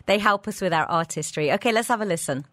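A woman's voice: medium pitch, fast, fairly bright tone, no echo. Friendly woman radio host. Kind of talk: radio chat show.

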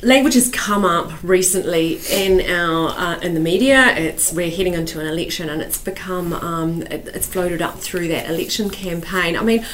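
A woman's voice: fairly low pitch, normal speed, few pauses, a bit bright, slight background noise, low-quality recording. A woman hosting a podcast, asking questions in a casual, conversational way. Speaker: podcast host.

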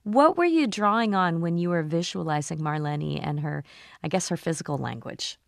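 A woman hosting a radio show, reading her intro and asking interview questions with polished, measured delivery. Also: sits low, animated, gravelly, no room sound, clean studio sound.

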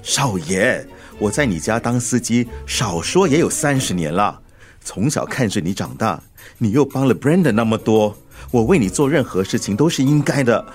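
Man playing a fretful old family chauffeur, animated delivery. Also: medium pitch, moderate pace, faint music behind, close to an everyday voice. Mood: fretful, concerned. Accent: Singaporean. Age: older. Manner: deferential, fretful, stammering when flustered. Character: anxious, loyal old family chauffeur, fussy father. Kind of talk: Mandarin radio drama acting.

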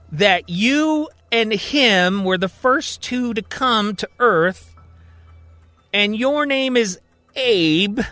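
A man's voice: high voice, a bit bright, pitched above the performer's own voice, exaggerated voice. A man voicing a bewildered, incredulous woman who questions insistently in an audio drama.

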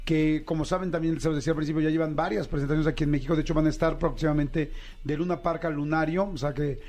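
A man with a Mexican Spanish accent hosts a casual interview in a high voice. He talks in a chatty, enthusiastic way and drops slang freely. He is from Mexico City. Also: fairly even intonation, quick pace, darkish tone. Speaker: podcast host.

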